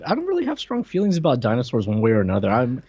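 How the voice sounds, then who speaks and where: Male podcast co-host, animated delivery, casual teasing banter, dry and slightly muffled recording. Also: medium pitch, very fast, husky, no echo. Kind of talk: casual livestream chat.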